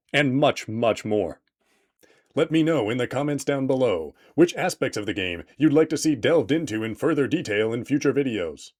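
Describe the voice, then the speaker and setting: A man reading scripted promotional narration as a game creator presenting an introduction, with a playful, tongue-in-cheek manner. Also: medium pitch.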